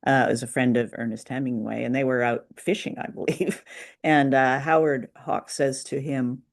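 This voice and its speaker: A woman co-hosting an unscripted discussion, explaining things informally in a tentative way with frequent pauses. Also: very deep voice, steady tone. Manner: tentative, pausing explanatory delivery. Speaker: podcast co-host. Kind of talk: unscripted discussion of old-time radio drama.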